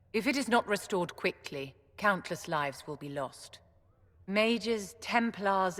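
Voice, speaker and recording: An actress playing a grave, dignified senior mage in a video game, speaking formally and deliberately. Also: female voice, lowish voice, fairly bright tone. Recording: reverberant hall.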